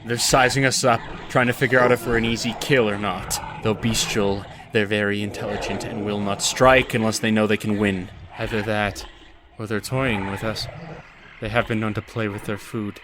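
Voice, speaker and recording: A man's voice: lowish voice, steady tone, moderate pace, background noise, natural voice. Man playing an earnest dwarf warrior. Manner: earnest, formal. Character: steadfast dwarf warrior guide, hopeful. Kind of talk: audio drama acting.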